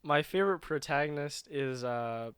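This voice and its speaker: Clear, dry-miked man chatting casually. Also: on the high side, expressive, normal speed, a bit bright, dry recording. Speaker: video game show co-host. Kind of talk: casual chat about video games.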